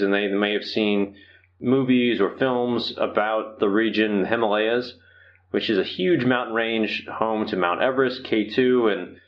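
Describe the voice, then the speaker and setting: A man with an American accent hosting an interview podcast in a polite, orderly, explanatory way. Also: on the low side. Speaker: podcast host. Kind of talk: interview hosting, prepared introduction. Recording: slightly dull, lo-fi podcast audio.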